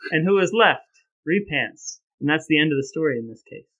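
A man's voice: on the high side, expressive, brisk, fairly bright tone, highs cut off. A man co-hosting a podcast, moving between casual chat and playful, mock-grand announcements. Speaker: podcast co-host.

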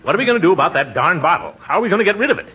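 An actor playing a pompous, flustered small-town uncle and official in a 1940s radio comedy, blustering and exclaiming with theatrical hems. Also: male voice, high-pitched, sing-song, very fast, slightly rough, exaggerated voice. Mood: worried, sheepish. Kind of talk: 1940s radio sitcom acting. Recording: muffled, noisy 1940s radio recording.

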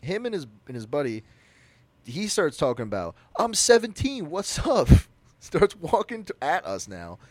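A man's voice: mid-range voice, normal speed, dry recording. Young man telling a story animatedly. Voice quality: naturally loud voice. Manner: animated, rambling, with frequent restarts. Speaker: podcast co-host. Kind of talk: casual storytelling.

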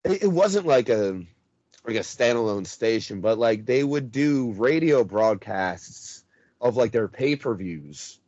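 A man's voice: mid-range voice, expressive, a little slow, darkish tone, dry recording, lo-fi sound. A man in his thirties chatting casually about wrestling, hesitant and full of fillers. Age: 30s. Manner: rambling, hesitant, many fillers.

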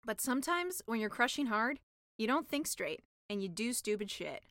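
Female audiobook narrator, fairly high voice, scripted comic storytelling, dry recording. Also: sing-song, moderate pace, fairly bright tone, no echo.

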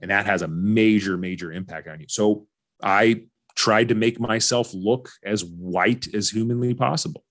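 An American man in his forties telling personal stories candidly as an interview guest, informal and halting, often repeating phrases for emphasis. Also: fairly low pitch. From Orange County, California. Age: 40s. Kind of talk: candid personal storytelling in conversation.

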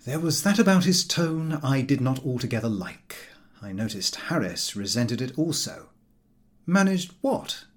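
A man reading a comic novel aloud as an expressive audiobook narrator. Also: mid-range voice, normal speed.

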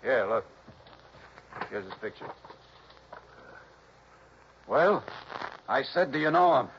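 A man's voice: mid-range voice, expressive, slow. An actor playing a hot-tempered, threatening crooked police sergeant with tough-guy delivery in a radio drama. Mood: suspicious, bullying. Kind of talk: mid-century radio drama acting. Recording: dull, hissy old radio recording.

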